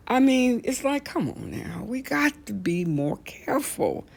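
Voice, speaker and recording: A middle-aged American woman hosting a casual talk show, telling stories in a blunt, animated, colloquial way and repeating phrases for emphasis. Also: on the high side, unhurried, vocal fry. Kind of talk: casual comedic rant with storytelling.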